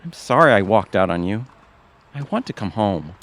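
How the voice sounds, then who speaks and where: A man's voice: lowish voice, sing-song, normal speed, a bit bright. A man playing a boyish, romantic goofball husband in a comedic audio play. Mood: earnest, pleading. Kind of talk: comedic audio theatre acting.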